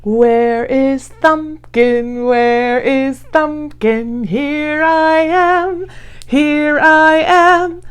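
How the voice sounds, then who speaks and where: A woman's voice: high-pitched, steady tone, very slow. A woman hosting a children's read-along, singing kids' songs and introducing segments. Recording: guitar music behind. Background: acoustic guitar accompaniment.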